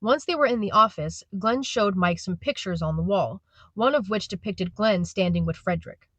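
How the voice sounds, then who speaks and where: A woman's voice: medium pitch, normal speed, fairly bright tone, dry recording. A woman narrating a scripted audio drama as its storyteller.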